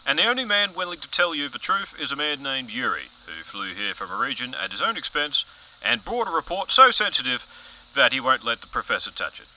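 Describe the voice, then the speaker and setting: A man's voice: medium pitch, big pitch swings, brisk, pushed and bright, low-quality recording. A man playing a pompous, overzealous TV news anchor in an audio comedy, earnest and dramatic. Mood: grave, insistent.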